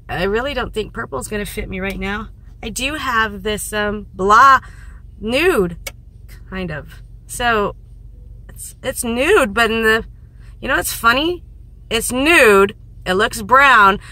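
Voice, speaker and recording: A woman in her thirties with a hoarse, fading voice, chatting casually and rambling in a vlog from a parked car into a phone held at arm's length. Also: mid-range voice, pushed and bright. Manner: rambling, self-mocking, laughs often. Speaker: vlogger.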